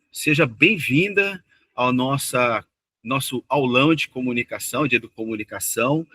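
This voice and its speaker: A male host introduces his guest with eager, run-on enthusiasm. His voice is bright and forward, and the sound is dry and close with no room around it. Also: medium pitch, no room sound.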